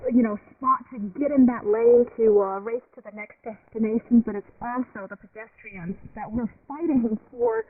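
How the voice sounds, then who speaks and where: A woman's voice: on the high side, expressive, moderate pace, few pauses. A woman giving her opinion as a panelist in a casual radio discussion. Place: radio studio. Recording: distant, noisy, tinny shortwave radio reception.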